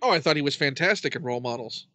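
A man's voice: mid-range voice, lively intonation, very fast, pushed and bright, no room sound. A man chatting casually as an interviewer, bantering with dry, wry humor.

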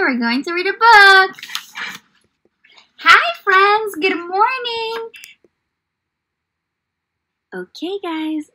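A woman's voice: extremely high pitch, sharp tone, rough recording. A young woman teaching small children in an encouraging, exaggerated child-directed way, full of questions. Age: young adult. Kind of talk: children's lesson and read-aloud. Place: small indoor room.

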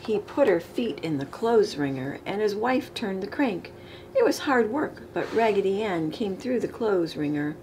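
A middle-aged woman reading a children's picture book aloud with expressive, emphasized storybook delivery. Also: medium pitch, normal speed, poor audio. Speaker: storytime reader. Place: living room, indoors. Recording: clear background room noise.

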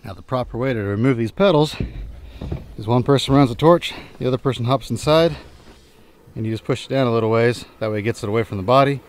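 A man in his thirties giving a relaxed, plain-spoken walkthrough of his junkyard, often trailing off quietly at the end of a remark. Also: medium pitch, fast, slight background noise. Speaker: junkyard owner and channel host. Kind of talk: casual vlog walkthrough. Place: outdoor junkyard and inside a pickup truck.

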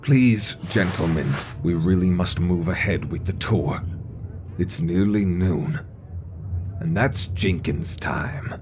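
A man's voice: low voice, slow, background noise. A man voicing a prissy, put-upon wizard train steward in a comic dub, formally polite and sarcastic. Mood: stiffly polite. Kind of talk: comic dub character acting.

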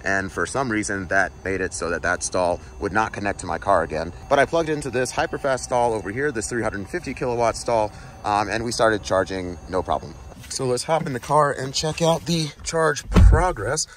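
A man's voice: lowish voice, normal speed, a bit bright. A man in his thirties talking casually to viewers as a car vlogger, explaining things in a conversational way. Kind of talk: casual vlog narration to viewers. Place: inside a moving car, later outdoors at a charging station. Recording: noisy handheld recording with vehicle or street noise.

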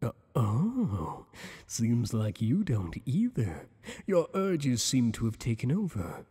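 A man's voice: medium pitch, sing-song, warm tone, husky, in a large hall, heavily acted. A man voicing a teasing, possessive monster boss. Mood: flirtatious. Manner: teasing, flirtatious, slow and intimate. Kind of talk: ASMR roleplay.

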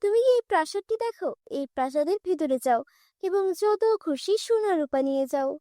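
Woman as a cartoon fairy, female, stern yet kindly, commanding, very high clear-cut delivery. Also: lively intonation, a little fast, mellow, no echo, studio quality.

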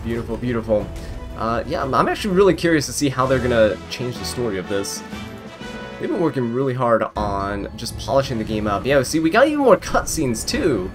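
Young male gaming creator, casual and amused, animated delivery, prone to tangents, light music underneath. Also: medium pitch, fairly quick. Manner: chatty, amused, given to tangents. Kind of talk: casual gameplay commentary. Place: voice-over recorded apart, game music behind.

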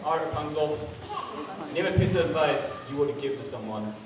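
Teenage boy as wisecracking male game show host, high voice, American, stage comedy, distant noisy hall recording. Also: expressive, close to an everyday voice. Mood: smooth hosting. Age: teens. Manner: showy, drawn-out announcing. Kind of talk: live stage comedy skit. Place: school auditorium stage. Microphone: handheld mic through PA, far camera. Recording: echoey noisy auditorium from far off.

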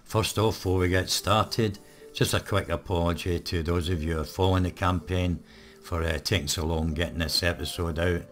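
Hoarse deep-voiced gamer. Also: male voice, normal speed. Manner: relaxed, rambling, many ums. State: hoarse, croaky. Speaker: gaming channel host. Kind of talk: live let's play commentary.

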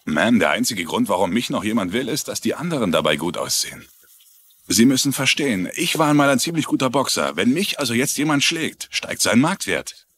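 A man's voice: mid-range voice, sing-song, fairly quick, fairly bright tone, slightly rough. An actor playing a humble, polite, resigned journeyman boxer in a TV crime drama dub. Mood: earnest, resigned. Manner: polite, earnest. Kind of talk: German-dubbed TV crime drama.

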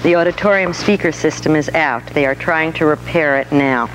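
Middle-aged woman host on stage, poised and a bit wry, clear hiss on the old tape. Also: sits low, normal speed. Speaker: interviewer and television host. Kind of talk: interview questions on stage. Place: auditorium stage before an audience.

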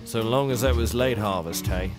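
An actor playing a jolly, old-fashioned gentleman sidekick with a pompous, exclamatory manner in a comedy audio drama. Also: lowish voice, moderate pace, music in the background, natural voice. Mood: jovial, pompous.

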